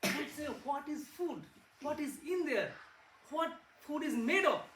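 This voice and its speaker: A man's voice: extremely high pitch, lively intonation, unhurried, fairly bright tone. A man with an Indian accent, a physics researcher, explaining science to children in simple words and asking them questions. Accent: Indian English. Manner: simple words, asks the kids questions, repeats words for emphasis. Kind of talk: classroom talk to kids. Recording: echoey room, far from the mic, faint noise.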